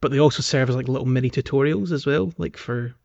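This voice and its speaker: A man's voice: medium pitch, fast talker, gravelly, darkish tone, dull audio. A man hosting a podcast, talking through a game in a casual, rambling, conversational way. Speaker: podcast host.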